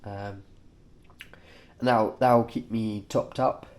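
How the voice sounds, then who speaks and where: A man speaks in a fairly low, nasal voice, congested from a cold. He takes his time, moving very slowly through short, halting phrases. The delivery is informal and offhand, like a vlogger showing his gear. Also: fairly even intonation, darkish tone. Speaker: cyclist presenting his race kit. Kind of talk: informal vlog, kit walkthrough.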